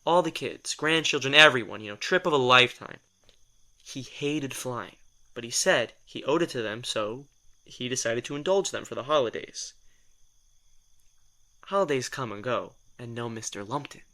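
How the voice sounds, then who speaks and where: A man acts out a sardonic, exasperated guy in an audio drama. His voice is bright and forward at an ordinary male pitch. He rambles wryly and defensively, as if in casual conversation. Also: expressive, normal speed. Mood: wry, rambling. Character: sardonic, exasperated male telepath, former personal assistant to a writer.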